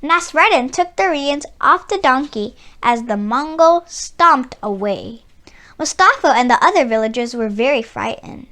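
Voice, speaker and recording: High-voiced, animated woman reading a storybook. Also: unhurried. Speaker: children's story reader. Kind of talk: read-aloud storybook narration.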